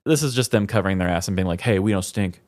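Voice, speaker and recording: A man's voice: low voice, fast talker, a little mellow, no echo. A man co-hosting a comedy podcast in a chatty, wry, casual way, close to the mic. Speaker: podcast co-host. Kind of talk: casual comedic chat, reading trivia aloud.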